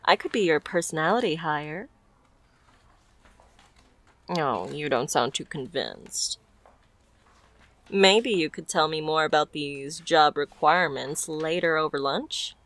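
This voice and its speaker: Woman doing a flirty mafia boss lady for asmr roleplay, low voice, takes her time, teasing and smooth. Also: a bit bright, heavily acted. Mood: flirtatious, charming. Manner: teasing, smooth-talking, unhurried.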